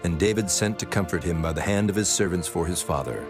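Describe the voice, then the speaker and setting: A man narrating scripture from a script in a solemn, measured manner. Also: low voice. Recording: music bed under the voice.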